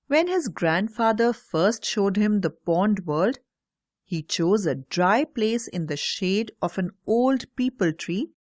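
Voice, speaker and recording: A woman narrating a scripted children's story in a measured, carefully enunciated read-aloud manner. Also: mid-range voice, no room sound, clean studio sound.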